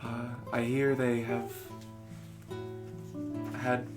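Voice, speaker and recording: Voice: medium pitch, flat delivery, extremely slow. Tabletop player, in character, hesitant, polite, background music. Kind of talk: playing a character in a tabletop game.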